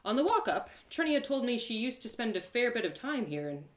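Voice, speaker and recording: A woman hosting and narrating a story live in an expressive, theatrical way. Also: a little high, a little fast, echoey room. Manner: animated, theatrical. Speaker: storyteller and host of a live reading series.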